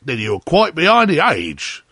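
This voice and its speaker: Opinionated water rat for a storybook, male reader: pompous, lecturing, husky, very lively pitch. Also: a little slow, no echo, full character voice. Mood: haughty, opinionated. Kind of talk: storybook character voice.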